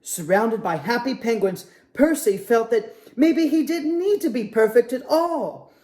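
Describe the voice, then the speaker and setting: A man reading a picture book aloud at a children's story time, with a gentle, expressive manner. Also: very high voice, unhurried.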